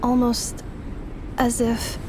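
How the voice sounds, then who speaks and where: A woman playing a gentle robot in a game cutscene, solemn and formal, with measured, precise wording. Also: on the high side, completely flat delivery, noisy background. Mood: pensive. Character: gentle female robot, solemn, fearing her lost memories. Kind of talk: dubbed video game cutscene acting.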